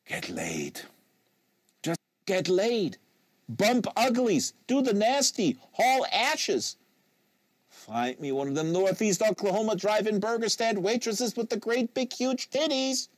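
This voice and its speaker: A man's voice: high-pitched, sing-song, slow, no room sound, voice pushed up, full character voice. A man voicing a crude, lustful teenage boy in an audiobook, with a yearning, slangy manner. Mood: lustful pleading.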